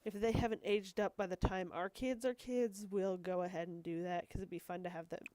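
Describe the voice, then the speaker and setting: A woman's voice: fairly low pitch, fairly even intonation, brisk, mellow, no echo, rough recording. A woman with a cold, a bit stuffed up and wheezy, chatting casually as she plays a game and apologizes often. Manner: chatty, self-deprecating, apologetic asides. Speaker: gaming video host. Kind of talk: casual rambling gameplay commentary.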